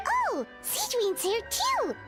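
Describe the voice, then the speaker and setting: Squeaky, excitable little fairy sidekick. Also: female voice, slow, heavily acted. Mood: curious, cheerful. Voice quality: squeaky, childlike. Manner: exclamatory, refers to herself in third person. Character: tiny excitable female fairy-like companion, childlike and naive. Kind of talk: video game character acting. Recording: music behind the voice.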